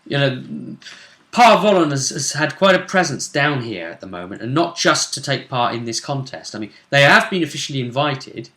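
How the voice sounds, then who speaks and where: A man's voice: mid-range voice, big pitch swings, moderate pace, bright, forceful tone, reverberant. A man chatting casually as he hosts a recap of a tabletop role-play game.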